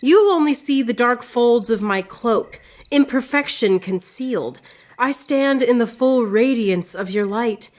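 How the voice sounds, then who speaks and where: An actress playing a witty, proud, lovelorn woman poet in radio theatre, speaking in a theatrical, yearning way with drawn-out phrases. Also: a little high, animated. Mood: earnest, yearning. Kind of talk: poetic radio theatre acting.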